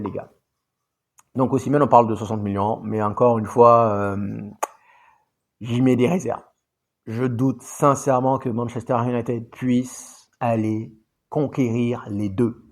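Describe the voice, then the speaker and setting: Male football vlogger, mid pitch, conversational and animated, addresses viewers directly. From grew up in Saudi Arabia. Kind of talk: casual commentary to viewers.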